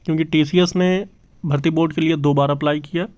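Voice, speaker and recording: A man's voice: a little high, steady tone, a little fast, darkish tone. A man hosting his channel's job exam news update, talking earnestly and repeating himself with many fillers. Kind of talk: casual news update to camera. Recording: slightly dull lo-fi audio.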